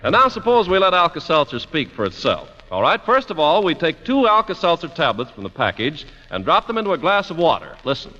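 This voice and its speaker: A man delivers a 1940s radio announcement in a bright, rather high and lively voice. His pitch is polished and emphatic, and the old recording is dull with a long hall reverb. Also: brisk, few pauses.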